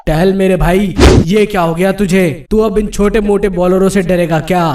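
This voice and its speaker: A man's voice: high-pitched, fairly even intonation, moderate pace, heavy background noise, close to an everyday voice. A man voicing a cocky star batsman in a comic cartoon, coaxing and swaggering as he talks. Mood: encouraging. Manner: swaggering, persuasive. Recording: distant voice under loud music.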